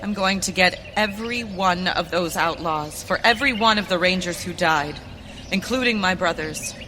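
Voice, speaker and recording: A woman acts out a resolute young ranch woman bound for justice in a western radio drama. Her voice is bright and forceful, theatrical and earnest. It rings through a long hall reverb. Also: on the low side, moderate pace, slight background noise. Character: determined young rancher woman becoming a masked western avenger. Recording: reverberant hall, stage performance.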